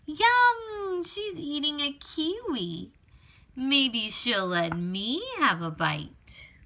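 A woman reads a children's storybook aloud at a very slow, deliberate pace. Her voice is high and bright, and she performs the text expressively for small children, with exclamations and a sing-song delivery. There is faint noise behind her. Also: lively intonation. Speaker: author reading own picture book.

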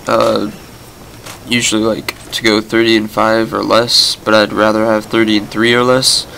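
A man's voice: lowish voice, flat delivery, normal speed, creaky, bright, forceful tone, poor audio. A young man with a cold, giving rambling, casual commentary over his gameplay with lots of fillers and a stuffed, nasal voice. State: sick, nasal voice. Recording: background game gunfire noise.

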